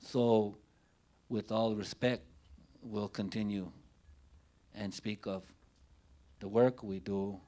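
A middle-aged man speaks in a fairly low voice at a very slow pace. He is giving an unscripted talk at a community forum, telling personal stories into a handheld microphone. His delivery is deliberate and halting, laid out in short phrases with pauses between them. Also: fairly even intonation, warm tone. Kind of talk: unscripted public speech, personal storytelling. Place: indoor forum room, before a banner. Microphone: handheld microphone held near the mouth.

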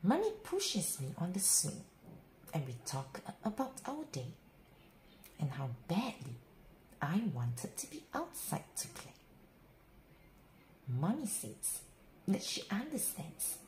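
Female storyteller for kids' picture books, unhurried and hugely expressive, dramatic phrasing, recorded in an echoey room with faint noise. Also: low-pitched. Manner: dramatic, deliberate phrasing with drawn-out words. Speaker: picture book reader. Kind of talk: expressive children's bedtime story read-aloud. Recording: roomy echo, faint background noise.

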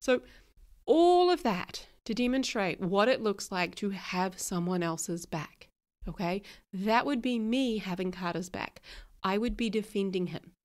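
A woman's voice: medium pitch, animated, measured pace. A woman with a New Zealand accent hosting a podcast, still a little nasal from a cold. She talks frankly and casually, swears now and then and laughs often. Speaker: podcast host.

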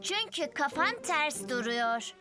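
A young girl guardian from a cartoon, sensible and a bit teasing. Her voice is very high, bright and animated, over faint music. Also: slow pace, heavily acted. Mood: scolding.

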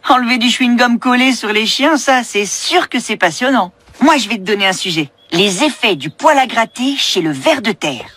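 A woman voicing a cheeky, mocking older-brother boy in a children's cartoon, with sarcastic, expressive delivery. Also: on the high side, normal speed, few pauses, no room sound. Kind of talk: French animated children's series dubbing.

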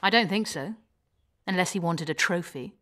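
A learned fantasy alchemy expert, played by a woman in a game, with a slightly low female voice. She is cool-headed, measured and matter-of-fact. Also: fairly bright tone, lightly acted. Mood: assured, explanatory. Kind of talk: video game character acting.

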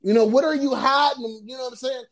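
A man's voice: very high-pitched, fairly even intonation, quick pace. A man co-hosting a podcast, talking loosely and laughing often. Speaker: podcast co-host. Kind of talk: casual podcast chat.

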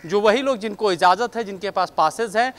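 A male field reporter in his thirties speaks into a handheld mic outdoors. His voice sits high, and he talks in long, filler-laden run-on sentences. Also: fairly bright tone. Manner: fast, filler-heavy reporting with repeated "jo hai". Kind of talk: live news report from outside. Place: outdoors outside a parliament building.

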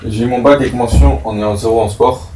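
A young football player giving short, dry answers about himself in a casual guessing game. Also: male voice, medium pitch, fairly even intonation, brisk, mellow, roomy sound, recorded from a distance, slight background noise. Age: young adult.

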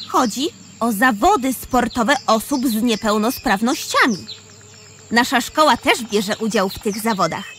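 A woman's voice: fairly high pitch, a little slow, a bit bright, slight background noise. A woman playing an encouraging, friendly, teasing schoolgirl in a children's audio drama. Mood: friendly, eager.

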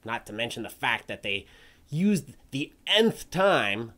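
A performer voicing an indignant, theatrical crow puppet in a dramatic reading, pompous and scornful with drawn-out emphasis. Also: medium pitch, sing-song, a bit bright. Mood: bitter, exasperated. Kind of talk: dramatic reading of an old forum post.

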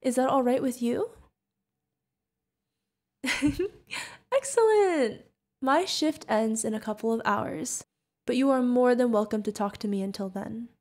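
Sweet, high-voiced alien bartender played by a woman. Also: expressive, unhurried, long pauses, a little mellow. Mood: sweet, delighted. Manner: sweet, chatty, speaking directly to the listener. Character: young female feathered alien bartender, sweet, curious, friendly. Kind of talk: ASMR roleplay acting.

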